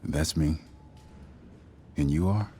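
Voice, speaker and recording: A worried, earnest middle-aged father from a video game. His voice is extremely deep, and he talks in a gentle, plain, slightly halting folksy way. Light background ambience sits behind him. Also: a little hiss or hum. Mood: friendly. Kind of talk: naturalistic video game voice acting.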